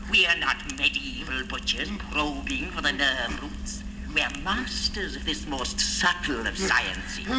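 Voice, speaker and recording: An actor playing a menacing, coldly instructive interrogator in a deliberate, patronizing style of old radio drama. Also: male voice, a little high, background noise, lightly acted.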